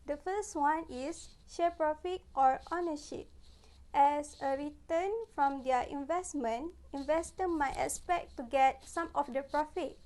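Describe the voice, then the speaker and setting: A woman's voice: high voice, darkish tone. A woman in her twenties with a Malaysian accent giving a scripted student presentation in a plain room. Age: 20s.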